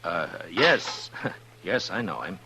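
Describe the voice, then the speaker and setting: An actor playing a steady, protective frontier marshal in a 1950s radio western, with a measured, understated delivery. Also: male voice, deep voice, full character voice. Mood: evasive, gentle. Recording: noisy 1950s radio recording with hum.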